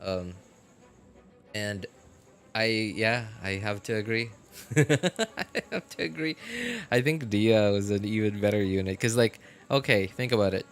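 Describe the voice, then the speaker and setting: A man talking casually on a game live stream, rambling with many fillers and getting animated about his opinions. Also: lowish voice, measured pace, soft background music. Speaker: gaming streamer.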